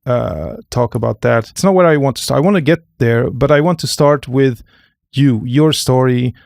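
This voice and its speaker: A man hosting a conversational podcast interview, often halting and rephrasing as he goes. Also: medium pitch, lively intonation, gravelly, darkish tone, no room sound, studio quality. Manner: halting, rephrases and repeats phrases. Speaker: podcast host.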